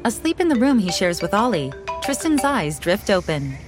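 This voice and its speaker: A woman reading scripted audio description in a measured, neutral way. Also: medium pitch, sing-song. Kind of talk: scripted narration. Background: music.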